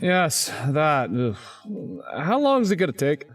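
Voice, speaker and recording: A young, wry schoolboy telling his own story in a video game. His delivery is dry and grumbling, with lively swings in pitch. Faint music sits behind the voice. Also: slow, heavily acted. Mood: annoyed. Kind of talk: visual novel narration and dialogue.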